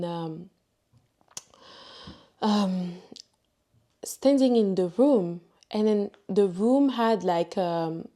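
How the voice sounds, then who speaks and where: A young woman from Ivory Coast with a French accent, telling a personal faith testimony in a halting way, with many fillers and restarts. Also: mid-range voice, mellow. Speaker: vlogger sharing a testimony.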